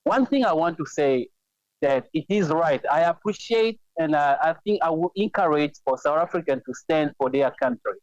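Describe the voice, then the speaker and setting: A Congolese-accented man calls in to a talk show, talking in halting bursts full of fillers and restarts. His line sounds dull and lo-fi, with a dry, close sound and no room around it. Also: on the high side, expressive, no echo. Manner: hesitant, many fillers and restarts. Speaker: caller. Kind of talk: phone-in call. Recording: dull lo-fi phone-in audio.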